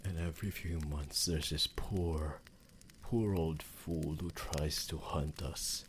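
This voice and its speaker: A man playing an ancient, possessive and refined male vampire lover in an intimate ASMR roleplay, speaking in formal, theatrical phrases with pauses. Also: a bass voice, fairly even intonation, slight background noise. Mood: brooding, protective.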